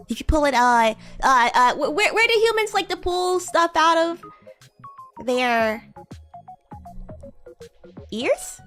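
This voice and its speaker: Woman acting as a bratty demon girl, very high voice, swooping intonation, haughty, teasing, halting improvised livestream roleplay. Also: a bit bright, exaggerated voice. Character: bratty, haughty young female demon class president. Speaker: VTuber streamer playing a roleplay character.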